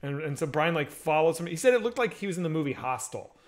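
A man's voice: a little high, quick pace. A man in his thirties hosting a casual video-call chat, telling crude stories with lots of energy, on a headset mic at home. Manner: crude, animated storytelling. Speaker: podcast host. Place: home room with bookshelves.

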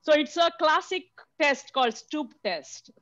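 A woman's voice: sits high, expressive, fairly bright tone, no echo. Woman from Mumbai on a video call. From Mumbai, India. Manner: halting, many fillers and restarts. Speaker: guest speaker. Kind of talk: mentorship talk over video call. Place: small room indoors. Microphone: headset on a video call. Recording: dull lo-fi video call audio.

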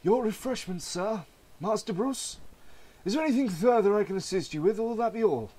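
A man's voice: sits high, animated, brisk, dark tone, voice pushed up. A man voicing a formal, courteous, deferential elderly butler in a comic-book reading. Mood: attentive.

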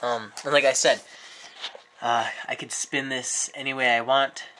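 Man with a cold, halting speech. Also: medium pitch, steady tone, sharp tone, slight background noise, low-quality recording. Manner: hesitant, many ums and restarts. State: sick with a cold. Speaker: aquarium hobbyist vlogger. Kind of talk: casual show-and-tell vlog. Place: indoors at home.

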